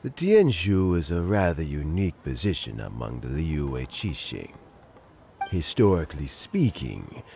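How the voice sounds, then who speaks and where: A courteous old statesman speaks in a very deep, gravelly voice that rises and falls expressively. He talks slowly and formally with gentle warmth, and soft game music plays behind him. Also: a little hiss or hum, exaggerated voice. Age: older. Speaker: game character.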